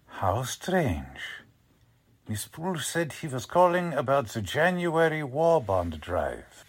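A man acting out a menacing Nazi spy chief from a 1940s-style radio thriller. He speaks slowly and huskily, commanding and contemptuous, with a widely swinging pitch. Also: very animated. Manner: deliberate, commanding.

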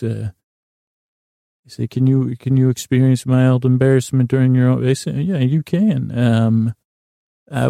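Low-key creaky-voiced man, rambling softly. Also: dry recording, studio quality. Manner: meandering, halting, repeats and trails into tangents; self-deprecating, gently silly. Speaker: podcast host. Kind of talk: rambling bedtime sleep podcast monologue.

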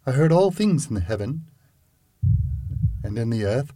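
A man playing a nervous, obsessive madman who insists he is sane, in a halting, emphatic dramatic reading of gothic horror. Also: mid-range voice, big pitch swings, dark tone, no echo. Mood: feverish, intense.

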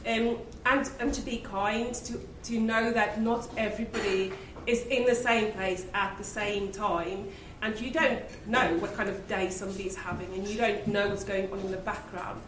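A woman speaking on a live leadership panel, warm and reflective, with easy laughs. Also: medium pitch, fairly even intonation, normal speed, hardly any pauses, background noise. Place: event venue with live audience. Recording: roomy, distant, live-event ambience.

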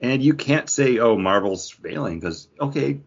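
A man's voice: medium pitch, animated, measured pace, vocal fry, slightly rough. A man co-hosting a podcast, chatting casually about pop culture. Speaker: podcast co-host. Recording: dull, lo-fi audio.

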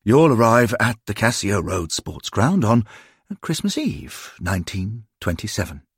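A man doing a fussy, fretful old gentleman. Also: on the low side, big pitch swings, measured pace, no room sound, studio quality, heavily acted. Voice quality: husky, creaky, prim and fretful.

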